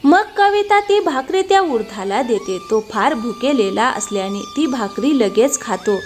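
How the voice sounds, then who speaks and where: A woman's voice: fairly high pitch, animated, normal speed. A woman reading scripted storytelling narration as the narrator of a moral tale. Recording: music behind the voice.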